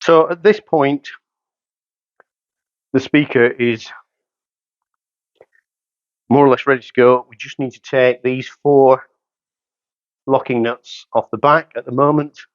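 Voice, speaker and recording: A man's voice: mid-range voice, fairly even intonation, takes it slowly, long pauses, no echo, dull audio. A man in his fifties giving a matter-of-fact, step-by-step product demonstration in short phrases while he works. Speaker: hi-fi dealer presenter. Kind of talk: hands-on product setup demonstration, talking while working. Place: carpeted indoor showroom room.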